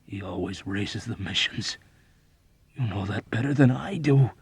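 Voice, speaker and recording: A man voicing a belligerent, desperate young airman in an audiobook novel, with a thick, hoarse voice and an agitated, pleading, shouting manner. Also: on the low side, unhurried, no room sound, full character voice. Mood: complaining.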